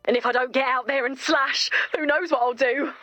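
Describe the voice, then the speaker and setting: A woman's voice: high-pitched, fairly even intonation, normal speed, a bit bright, no room sound, highs cut off, faint music behind. An actress playing a cheerful, genteel woman with a zeal for slashing in a video game, polite and sweetly unhinged. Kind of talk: scripted video game voice acting.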